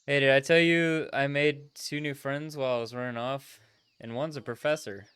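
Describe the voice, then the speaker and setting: A man's voice: mid-range voice, steady tone, moderate pace, a bit bright, clear, resonant tone, natural voice. A man voicing an earnest, sociable but self-doubting young trainer in a visual novel, casual and hesitant. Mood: playful. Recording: music behind the voice.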